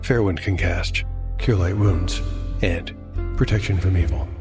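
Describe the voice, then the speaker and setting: A man narrating a scripted dark fantasy audio drama as solo game master, measured and deliberate. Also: low voice, gravelly, over music.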